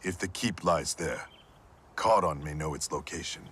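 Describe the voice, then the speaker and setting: An actor playing a resolute veteran soldier who speaks firmly and matter-of-factly in a video game cutscene. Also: male voice, a bass voice, moderate pace, a bit bright, slight background noise. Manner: firm, matter-of-fact.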